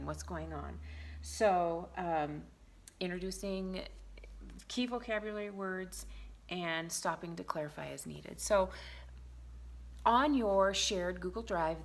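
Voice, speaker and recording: Lower-pitched woman explaining a teaching method. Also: slight background noise. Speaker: early literacy teacher trainer. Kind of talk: instructional talk to teachers.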